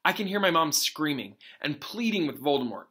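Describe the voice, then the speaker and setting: A man's voice: a little high, very animated, a little fast, bright, forceful tone, voice pushed up. A man reading a storybook aloud as a troubled, brooding schoolboy wizard, defensive and impassioned.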